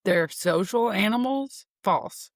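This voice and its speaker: A woman with a drawl answers true-or-false questions in an unhurried, wry way. She pokes fun at herself as she goes. Her voice sits close and dry on the mic. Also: medium pitch, expressive, no echo. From Kentucky, USA. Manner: unhurried, wry, self-deprecating. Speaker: singer, quiz guest. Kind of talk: casual quiz banter.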